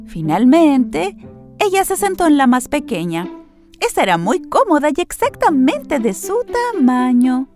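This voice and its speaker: A woman narrating a scripted children's story in an expressive storytelling manner. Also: sits high, a little slow, faint music behind.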